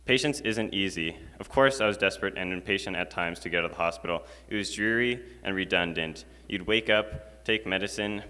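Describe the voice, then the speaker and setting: Low-voiced teen boy in a reverberant chapel. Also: bright, forceful tone. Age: teens. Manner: earnest, reads from notes, casual fillers. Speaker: student speaker. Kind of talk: prepared school chapel talk. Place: school chapel, large indoor space. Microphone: stand mic at a lectern. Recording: long hall reverb.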